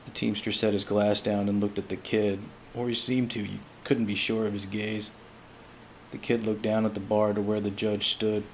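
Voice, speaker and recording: A man giving a dramatic reading of literary fiction in a measured, solemn storytelling manner. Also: on the low side, level tone, a little hiss or hum, rough recording. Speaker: amateur audiobook reader.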